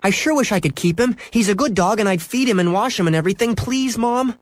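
Storybook young boy, played by a man: raised pitch, eager and pleading, very lively swoops. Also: fast, dry recording. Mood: pleading, earnest. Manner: earnest, pleading. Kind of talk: children's storybook character voice.

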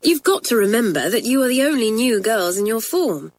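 A woman's voice: fairly high pitch, big pitch swings, fairly quick, pitched above the performer's own voice. A woman doing a reassuring schoolgirl. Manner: reassuring, sensible. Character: kindly older schoolgirl, reassuring.

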